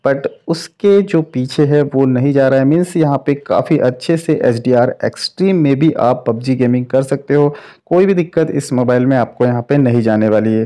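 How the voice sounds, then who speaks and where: A man's voice: mid-range voice, warm tone. A man giving casual, explanatory commentary as a tech reviewer, addressing viewers like friends. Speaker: tech reviewer, channel host. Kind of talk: casual phone gaming test commentary.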